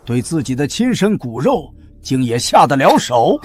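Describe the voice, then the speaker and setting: A man's voice: mid-range voice, very animated, normal speed, slight background noise, lightly acted. An actor playing a contemptuous, jeering nobleman who mocks a beggar. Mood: mocking.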